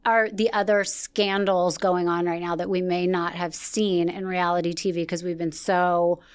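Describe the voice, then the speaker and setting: A woman's voice: on the low side, flat delivery, moderate pace, clear, resonant tone. A woman hosting a podcast, chatting casually and asking curious, prompting questions. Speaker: podcast host. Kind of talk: casual interview chat.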